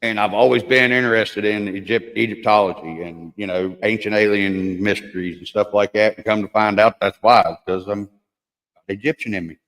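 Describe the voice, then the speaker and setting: A man's voice: on the low side, fairly even intonation, normal speed, a bit bright. Crude joking man in a reverberant room. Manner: crude, joking, rambling storyteller. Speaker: livestream host. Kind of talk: casual chat. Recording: long hall reverb.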